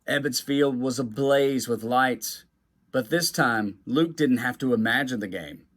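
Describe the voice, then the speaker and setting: Animated man reading a children's storybook aloud. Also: medium pitch, unhurried. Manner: animated, acts out the dialogue. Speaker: guest reader of a children's book. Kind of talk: expressive storybook read-aloud.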